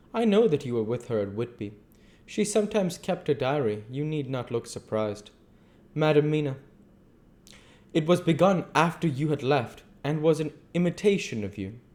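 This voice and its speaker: An earnest, courtly old doctor from a gothic novel, acted out by a man reading aloud. The voice is pushed well up in pitch and projected, with effusive politeness and stilted, formal phrasing. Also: darkish tone, lightly acted.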